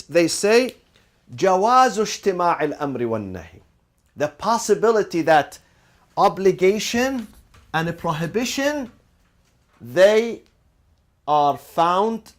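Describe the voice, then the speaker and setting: A man with a fairly high voice gives a classroom lecture. He keeps asking "what?" and then answers himself, repeating phrases to drive the point home and dropping in Arabic legal terms as he goes. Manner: asks rhetorical questions and answers them, repeats phrases for emphasis. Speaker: teacher.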